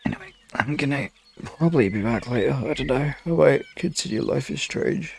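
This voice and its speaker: A man chatting casually to live viewers as a streamer, in a halting, rambling, informal way. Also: mid-range voice, expressive, darkish tone. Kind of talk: casual livestream chat. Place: room in an apartment. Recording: clearly noisy home room recording.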